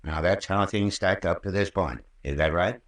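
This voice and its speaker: Man playing a low-voiced folksy country doctor. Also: close to an everyday voice. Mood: patient recounting. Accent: rural American. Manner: folksy, unhurried, patient storytelling. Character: folksy country doctor, soothing, long-winded peacemaker. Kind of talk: old-time radio drama acting. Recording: roomy, distant old radio recording.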